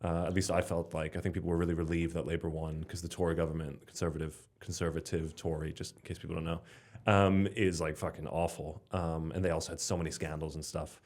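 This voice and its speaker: A man's voice: sits low, flat delivery, fast talker, darkish tone. A young man from Slovenia chatting casually as a podcast guest, rambling with lots of fillers and restarts. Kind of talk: casual conversation.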